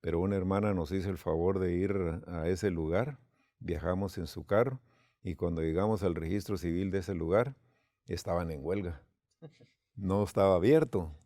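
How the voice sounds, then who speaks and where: A man's voice: sits low, moderate pace, warm tone, no room sound, studio quality. A man from Guatemala, telling a personal story unhurriedly with quoted dialogue, as a church leader.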